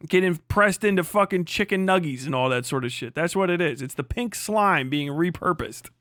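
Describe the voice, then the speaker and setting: A man with a high-pitched voice hosts a livestream, recorded close and dry. He rants off the cuff in casual reaction commentary, swearing freely and mocking as he rambles. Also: animated, normal speed, fairly bright tone, no echo. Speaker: livestream host.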